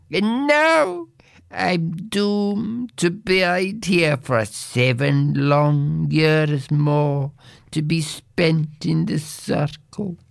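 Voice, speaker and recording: A man voicing a mournful old male goblin who was once a mortal, speaking archaic words with breathy whispers. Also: high voice, no room sound, clean studio sound, voice pushed up, heavily acted. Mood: wailing.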